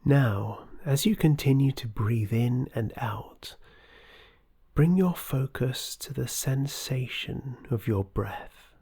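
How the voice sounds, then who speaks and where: A softly spoken meditation guide giving scripted instructions in a soothing, gentle, breathy voice that often drops close to a whisper. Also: mid-range voice, slow pace.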